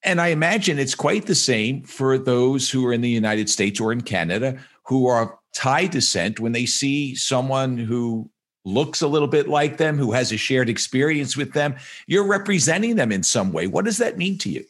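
A man's voice: mid-range voice, animated, normal speed. A man hosting an interview, asking questions fluently and with enthusiasm.

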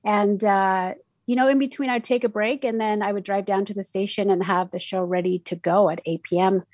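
A woman's voice: medium pitch, steady tone, a little fast, darkish tone, no room sound. A middle-aged woman from Mexico City, a native Spanish speaker with a Mexican Spanish accent, chatting casually as a radio DJ in an interview, with many fillers and restarts.

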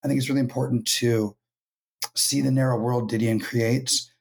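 A man from California, hoarse from losing his voice, talking thoughtfully as a writer guest in a casual podcast interview. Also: medium pitch, steady tone, moderate pace. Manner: thoughtful, many ums and restarts. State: hoarse, lost voice.

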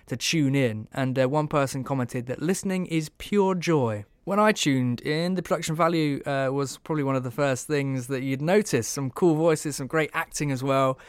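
A male podcast host chats casually through a review, phrasing things hesitantly. His mid-pitched voice is smooth and clear, recorded dry and close. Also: no echo.

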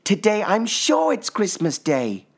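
An eager little boy bear from a storybook, pitched far up into a high, childlike register. He is impatient and excitable, pleading with lively swoops. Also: a little slow, exaggerated voice. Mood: eager, excited.

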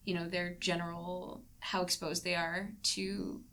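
A woman's voice: lowish voice, level tone, unhurried, creaky, a bit bright. A young woman in a casual podcast discussion, talking hesitantly with plenty of fillers. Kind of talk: casual panel chat.

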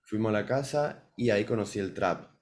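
A man's voice: on the low side, brisk, a little mellow. A man in his early twenties with a Buenos Aires accent, chatting casually as an interview guest. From Buenos Aires, Argentina. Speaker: musician guest. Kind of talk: casual storytelling interview answers.

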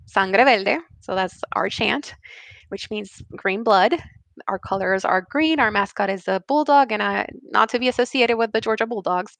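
A woman's voice: medium pitch, creaky, a bit bright, no echo. Puerto rican woman guest on a podcast, laughs a lot, dead dry sound. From Puerto Rico. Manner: candid, laughs often, self-correcting restarts. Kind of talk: conversational interview.

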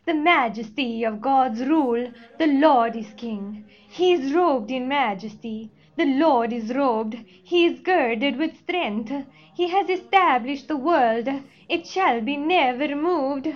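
A woman's voice: high voice, slow pace, hardly any pauses. A woman playing a stern, pompous male Pope in a comedy sketch, speaking formally. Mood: solemn. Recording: dull, lo-fi audio.

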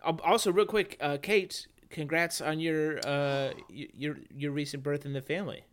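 A man's voice: fairly high pitch, fairly even intonation, moderate pace, a little hiss or hum. A man co-hosting a comedy podcast, riffing jokes and laughing often. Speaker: podcast co-host. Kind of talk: casual comedic chat.

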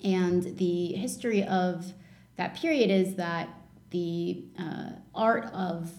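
A woman in her thirties who grew up in Lebanon and Canada, a startup founder speaking in a reflective, measured way during an interview. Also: fairly low pitch, a little mellow. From Lebanon, raised in Canada. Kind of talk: interview conversation. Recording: echoey room.

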